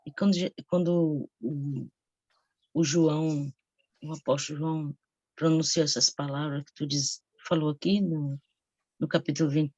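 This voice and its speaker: A Brazilian woman commenting informally on scripture as a participant in a devotional broadcast, halting as she searches for words. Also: low voice, darkish tone, no room sound.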